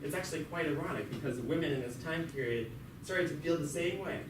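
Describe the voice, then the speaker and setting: A man's voice: fairly high pitch, a little fast, lightly acted. A man playing a talkative jailed revolutionary who narrates his story in an amateur puppet show with sardonic, stumbling asides. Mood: sardonic storytelling. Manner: conversational, sardonic asides, stumbling over words. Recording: echoey, very noisy, distant mic.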